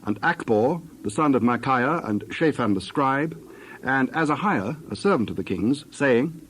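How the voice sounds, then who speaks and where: A man's voice: mid-range voice, animated, measured pace, a bit bright, slight background noise, rough recording. A man giving a scripted narration of scripture as the narrator of a dramatized reading.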